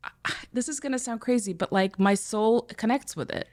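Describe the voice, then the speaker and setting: A woman's voice: on the high side, normal speed. A middle-aged woman from the former Soviet Union, hosting a podcast in casual, hesitant conversation. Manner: hesitant, many fillers and restarts. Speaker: podcast host and teacher.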